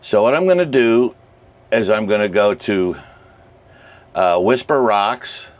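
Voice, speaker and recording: A man giving a casual how-to talk as a hobbyist presenter, speaking in short phrases with frequent pauses. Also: lowish voice, muffled recording. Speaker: amateur radio hobbyist presenting a how-to video. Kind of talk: casual explanatory vlog talk.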